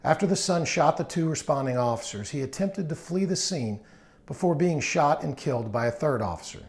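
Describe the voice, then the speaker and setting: A man's voice: fairly high pitch, lively intonation, a little fast, darkish tone, breathy edge. A man hosting a podcast, reading a scripted intro and then asking questions in a loose, rambling way. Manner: rambling, many restarts and fillers when asking questions. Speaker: podcast host. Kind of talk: scripted intro narration and casual interview.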